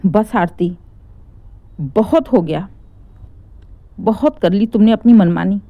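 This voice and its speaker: A woman's voice: medium pitch, slow pace, dry recording, narrow, tinny audio. Woman as a furious, threatening young husband. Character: furious husband defending his aunt, threatening and protective.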